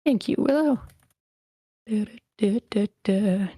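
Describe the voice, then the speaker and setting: A woman's voice: medium pitch, takes it slowly, mellow, slightly rough, no echo, studio quality. A woman casually hosting an online script table read, with a playful manner.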